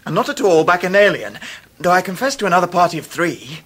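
An actor playing an earnest, kindly young English gentleman in a radio drama, with a breathy, husky voice. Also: high-pitched, very animated, a little fast, heavily acted. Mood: glad, friendly. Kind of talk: 1990s British radio drama acting.

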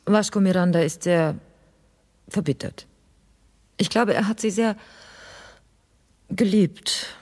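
An actress playing a frank, dryly compassionate village spinster in a radio drama. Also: female voice, mid-range voice, slow, long pauses, darkish tone. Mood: sympathetic.

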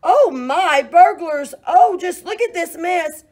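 A woman reading a children's picture book aloud, voicing a fussy, bossy farm woman who scolds in a shrill, loud voice. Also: big pitch swings, slow pace. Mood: shrieking. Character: bossy middle-aged farm woman who loves cleanliness.

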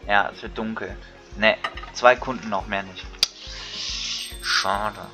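A man's voice: lowish voice, fairly even intonation, slow pace, sharp tone. A man giving casual let's play commentary, thinking aloud in colloquial speech. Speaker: gaming video creator. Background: game music.

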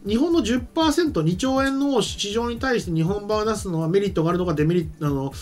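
A middle-aged man giving casual explanatory commentary as a show co-host, haltingly and with frequent self-corrections. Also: high voice, expressive, darkish tone. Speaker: commentator and co-host, anime and game writer. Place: small studio set, indoors. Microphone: desk microphone. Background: background music.

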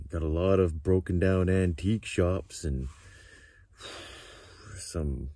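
A man's voice: on the low side, dry recording. Laid-back man vlogging from his car. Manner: relaxed, chatty, many fillers like "man" and "like". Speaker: travel vlogger. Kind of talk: casual vlog talk to camera. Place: inside an SUV and outdoors by a coastal beach. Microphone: handheld phone or camera at arm's length.

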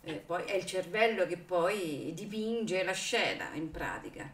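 A woman's voice: on the low side, animated, a little slow. Female radio participant, casual conversational delivery, echoey off-mic sound with faint noise. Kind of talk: casual chat. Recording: echoey room, noisy, off mic.

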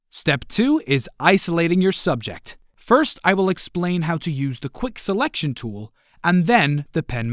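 A man's voice: on the high side, no room sound, clean studio sound. A man hosting a tutorial in scripted narration, explaining in an instructional, step-by-step way. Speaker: tutorial host.

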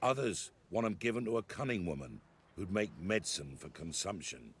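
Casting: reverent village elder, lowish voice with animated rise and fall, archaic speech, fantasy game. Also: male voice, normal speed, slight background noise. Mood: solemn. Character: solemn, devout male village peasant elder. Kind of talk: fantasy video game acting.

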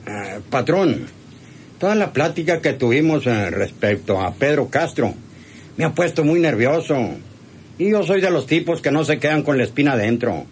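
A man's voice: a little high, big pitch swings, normal speed. A man with a Mexican accent playing a cowardly, servile ranch henchman in a radio drama, rustic and hesitant. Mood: nervous, eager. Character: cowardly, servile ranch henchman eager to please his boss. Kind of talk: radionovela acting. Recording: noisy old radio serial recording.

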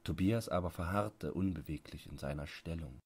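A man narrating a literary bedtime audiobook, reading with measured, deliberate phrasing. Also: sits low, darkish tone, slightly rough.

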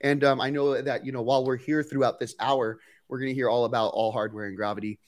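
A man's voice: mid-range voice, quick pace. Upbeat man hosting with a stuffy nose. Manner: upbeat, energetic, gestures while talking. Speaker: host. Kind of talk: live stream hosting. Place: home workshop studio. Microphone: headphones and studio mic on boom.

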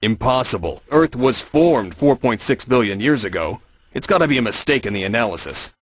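An actor playing a tough, wry commando major in a video game, with a gruff delivery. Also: male voice, medium pitch, expressive, fairly quick, no room sound, close to an everyday voice. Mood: skeptical. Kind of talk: video game cutscene acting.

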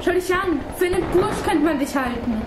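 A woman's voice: very high voice, animated. A woman playing a wounded, defiant young female soldier in theatrical stage declamation. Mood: taunting. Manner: slow, theatrical delivery. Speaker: performer in a student music theatre piece. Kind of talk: experimental opera stage declamation. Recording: echoey room, faint noise.

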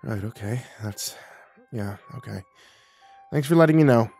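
A man's voice: medium pitch, animated, a little fast, darkish tone, soft background music, close to an everyday voice. A man playing an awkward adult son in a story, exasperated, evasive and defensive. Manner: defensive, stammering when pressed.

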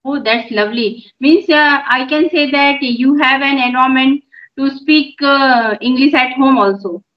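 A middle-aged woman who runs a YouTube channel, practicing English conversation on a video call from home, measured and encouraging with filler pauses. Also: sits high. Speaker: YouTube channel host and mother. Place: room at home. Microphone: phone on a video call. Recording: dull lo-fi call audio.

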